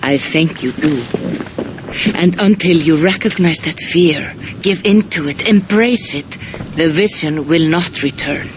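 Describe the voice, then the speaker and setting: A woman playing a knowing, unyielding Norse seeress in a video game, measured and portentous. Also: deep voice. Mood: knowing, challenging. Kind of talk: dramatic video game acting. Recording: faint background ambience noise.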